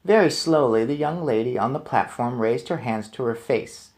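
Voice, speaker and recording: A man reading a children's book aloud as a storyteller, with expressive, deliberate phrasing. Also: mid-range voice. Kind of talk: expressive children's book narration with casual asides.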